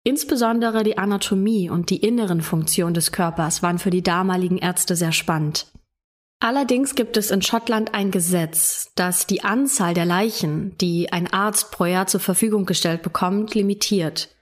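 A woman's voice: mid-range voice, moderate pace. A female true crime podcast co-host who talks in a casual, chatty way and laughs easily.

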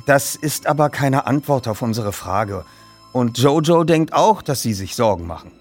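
A man's voice: medium pitch, animated, moderate pace, faint music behind, natural voice. A man voicing a polite, self-assured teenage boy detective with formal, precise speech in an audiobook. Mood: matter-of-fact.

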